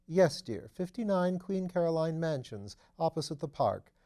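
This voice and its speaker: Older aristocratic mother for audiobook, played by a man: chatty, refined, kindly, soft mellow tone. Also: on the high side, sing-song, normal speed, voice pushed up. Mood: gossipy, genteel. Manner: gossipy, genteel, fussing kindly. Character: genteel dowager duchess, older mother, chatty. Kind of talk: audiobook character dialogue.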